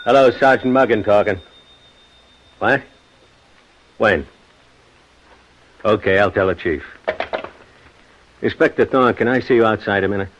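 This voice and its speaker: An actor playing a dutiful, plain-spoken police sergeant in 1950s radio drama style. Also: male voice, lowish voice, a little slow, pauses often, a bit bright. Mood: brisk, eager. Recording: dull, noisy old radio recording.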